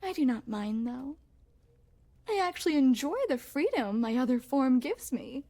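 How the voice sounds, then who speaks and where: A woman's voice: high-pitched, animated, moderate pace, dark tone. A woman playing a possessive, secretly shapeshifting queen in an ASMR roleplay, speaking formally and regally with doting menace. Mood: smug, confiding. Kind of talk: ASMR roleplay, scripted character acting addressed to the listener.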